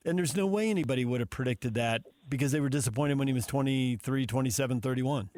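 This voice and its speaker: A man's voice: mid-range voice, fast, a little mellow. A man hosting a sports radio show, giving unscripted commentary on games.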